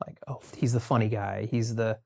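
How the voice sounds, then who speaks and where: An American man chatting casually as a podcast guest, telling stories informally and haltingly as he thinks aloud. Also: on the low side, fairly even intonation, vocal fry, darkish tone. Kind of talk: casual conversational storytelling.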